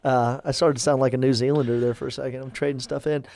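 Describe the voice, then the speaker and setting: A man's voice: mid-range voice, little pitch movement, fast, gravelly, darkish tone. A man, a parish priest chatting casually on a podcast, rambling with lots of fillers and restarts.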